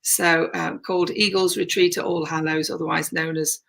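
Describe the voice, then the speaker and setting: A woman's voice: low voice, level tone, moderate pace, creaky, fairly bright tone. A woman co-hosting a casual faith conversation, talking at length with many fillers, from a converted church. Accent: non-Yorkshire. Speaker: ministry leader and co-host. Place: converted church tower room.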